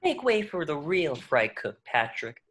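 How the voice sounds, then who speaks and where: A woman voicing an eager, excitable young male cartoon sea sponge with an earnest manner. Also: a little high, a little slow, full character voice. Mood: cocky. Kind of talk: cartoon script voice acting.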